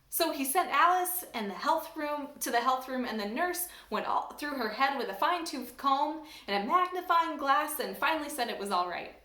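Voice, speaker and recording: Woman church staff member, high voice, animated storybook reader, roomy echo. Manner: animated, expressive reading. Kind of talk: storybook read-aloud.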